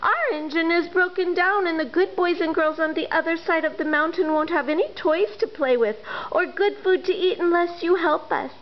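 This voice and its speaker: A woman's voice: extremely high pitch, normal speed, dull audio, pitched above the performer's own voice. A woman voicing a chorus of small dolls and toys who plead earnestly in a storybook. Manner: begging, earnest.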